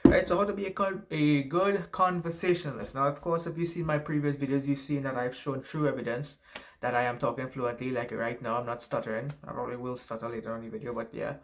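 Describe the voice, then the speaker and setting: A man's voice: mid-range voice, steady tone, fast. A young man with a Trinidadian accent giving casual, rambling advice to camera, swearing and tagging sentences with "right?". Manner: rambling, profane, frequent "right?" tags. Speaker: self-help vlogger.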